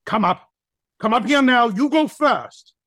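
A man's voice: very high-pitched, expressive, a little slow, pushed and bright, dry recording, studio quality, exaggerated voice. A man playing a pompous, irritable dog who runs an acting class, with a German accent and a bossy, exasperated manner, in an audio play. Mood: exasperated, angry. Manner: barking commands, pompous, exasperated.

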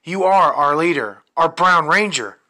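A man's voice: a little high, expressive, measured pace, pushed and bright, voice pushed up, full character voice. A man voicing a loyal young ranger hero, sincere and emphatic, in a superhero audio drama. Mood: sincere conviction.

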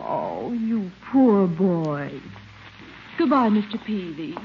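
A woman's voice: fairly low pitch, sing-song, slow, full character voice. An actress playing a gracious, genteel, flirtatious lady in 1950s radio comedy. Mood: coquettish sympathy. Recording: muffled, noisy 1950s radio recording.

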